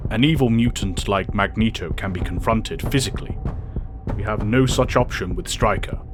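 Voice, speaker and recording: A man playing a wise, grave older professor who speaks in a measured, formal way in an audio drama. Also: on the low side, music in the background, close to an everyday voice. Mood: solemn.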